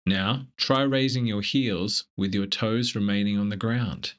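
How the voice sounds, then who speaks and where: A man leading a scripted guided meditation in a gentle, measured, instructive manner. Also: fairly low pitch, steady tone.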